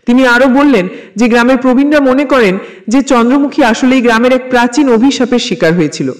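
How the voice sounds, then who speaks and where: Very high-pitched man narrating horror. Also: a little fast. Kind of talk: scripted first-person suspense narration.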